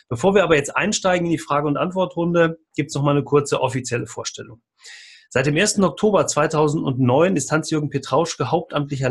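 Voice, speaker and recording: A male podcast host with a somewhat high-set voice and a distinctly husky tone. He presents and asks questions in the manner of an interview show, part scripted, part spoken freely.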